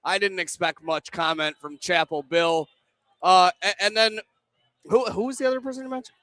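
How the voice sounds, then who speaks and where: A man's voice: high-pitched, fairly even intonation, normal speed, pushed and bright, no room sound. A young man co-hosting a sports pre-game show from a pizza pub, rambling with self-deprecating banter. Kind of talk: casual sports talk.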